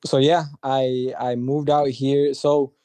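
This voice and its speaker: A young man speaks as a podcast guest, soft-spoken and humble, often restarting his sentences. His voice is mellow and fairly flat, and the sound is dry and close. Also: mid-range voice, slow, no room sound. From Dallas, Texas. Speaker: guest, brand founder. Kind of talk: casual interview chat.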